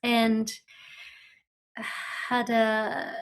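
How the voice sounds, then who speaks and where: A woman from Japan, a Japanese native speaker with a Japanese accent, talking thoughtfully as a podcast guest, with pauses and restarts. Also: fairly high pitch, completely flat delivery, poor audio. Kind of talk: podcast interview.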